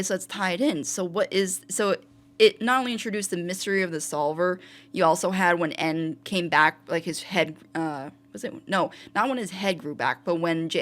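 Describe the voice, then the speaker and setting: A woman with a slightly low voice speaks casually as a fan. She rambles and thinks out loud, correcting herself as she goes. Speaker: fan contributor. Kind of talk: casual spoken tribute.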